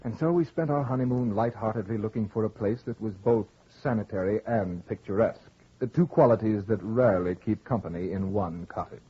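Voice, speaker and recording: An actor playing a young painter husband who tells his tale in literary, measured phrases in an old radio drama. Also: on the low side, breathy edge. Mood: wistful, reflective. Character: young painter husband, wistful storyteller, tender and teasing. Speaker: actor narrating in character. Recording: dull vintage radio broadcast with music.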